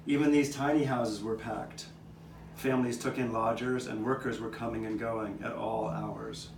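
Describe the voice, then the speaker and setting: Guy playing an old tomcat looking back on nine lives, puppet show story, measured, echoey and noisy outdoor taping. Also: mid-range voice, fairly even intonation, close to an everyday voice. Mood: reflective. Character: old male street cat, reminiscing storyteller with nine lives. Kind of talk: scripted puppet show narration. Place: outdoor courtyard. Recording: distant, echoey live taping with background noise.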